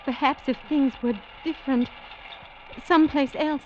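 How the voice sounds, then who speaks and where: Female actor as sheltered, yearning young islander, vintage radio adventure. Very high, intense, melodramatic, fearful; dull archival sound. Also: fairly even intonation, normal speed, darkish tone, close to an everyday voice. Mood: fearful, resigned. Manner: tremulous, intense, formal melodramatic phrasing. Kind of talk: 1940s-50s radio drama acting. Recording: dull, slightly noisy vintage radio recording.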